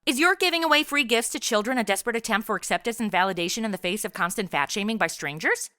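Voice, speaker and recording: A woman's voice: a little high, expressive, fast, sharp tone, no echo, clean studio sound. A woman playing a wisecracking, unimpressed superheroine in a comedic audio drama, with an American accent and a breezy, sarcastic manner.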